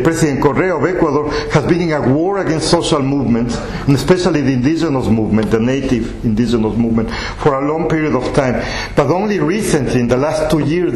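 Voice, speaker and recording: A man giving a guest lecture, explaining in a measured way with slightly non-native phrasing, then answering questions from the audience. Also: a little high, darkish tone. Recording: echoey hall, faint room noise.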